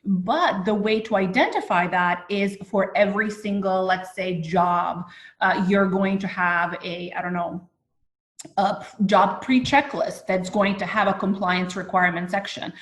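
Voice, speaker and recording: A woman's voice: mid-range voice, measured pace, a bit bright. A woman giving practical advice as a consultant in a conversational way, recorded with a roomy echo. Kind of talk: conversational explanation. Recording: roomy, echoing sound.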